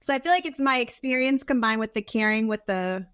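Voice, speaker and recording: A woman's voice: on the high side, a little fast, clean tone, no room sound, studio quality. A woman being interviewed as a guest, talking casually and earnestly, often acting out little quotes of herself and others. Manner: earnest, animated self-quoting, informal. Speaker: podcast guest, business consultant.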